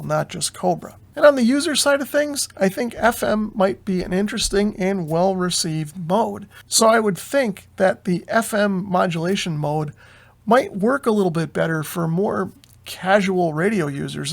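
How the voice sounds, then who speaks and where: An American man with a somewhat high voice explains things informally to viewers. His delivery is measured and conversational, with plenty of vocal fry running through it. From United States. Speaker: video host, amateur radio hobbyist. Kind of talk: informal explainer commentary on radio news.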